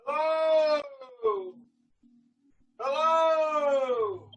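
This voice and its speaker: A woman playing an eerie male hitchhiker hailing drivers in an old-time radio drama, with a drawn-out call. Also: sits high. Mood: eerie, beckoning. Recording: dull, distant, off the microphone.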